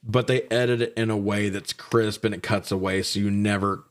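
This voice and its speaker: Low-voiced man chatting casually. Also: steady tone, normal speed. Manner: profane, slangy. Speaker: podcast co-host reviewing films. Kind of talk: casual, opinionated film-review chat.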